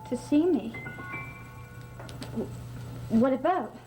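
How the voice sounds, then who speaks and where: An actress playing a torn, anxious young woman in a 1960s soap opera, pleading and earnest, heard on an old TV soundtrack over loud organ music. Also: sits high, expressive, slow, poor audio.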